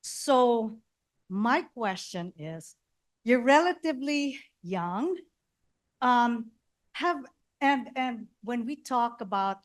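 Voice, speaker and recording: A woman's voice: a little high, animated, takes it slowly. A woman with a Filipino accent from the Philippines, politely asking a panel a question from the audience on a handheld mic. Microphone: handheld microphone passed through the audience.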